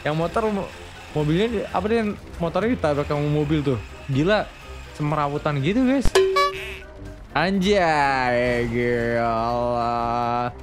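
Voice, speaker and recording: A man doing playful, slangy gameplay commentary as a YouTube host. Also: on the high side. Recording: music behind the voice.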